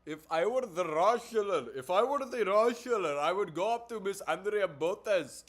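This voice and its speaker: A man putting on a loud mocking Raj voice. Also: high voice, lively intonation, voice pushed up. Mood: smarmy. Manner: exaggerated mocking put-on accent, slow and loud. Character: mock Indian man called Raj.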